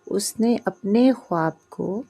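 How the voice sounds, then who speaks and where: A woman narrating a scripted moral story in short, measured phrases with pauses. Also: medium pitch, vocal fry, warm tone.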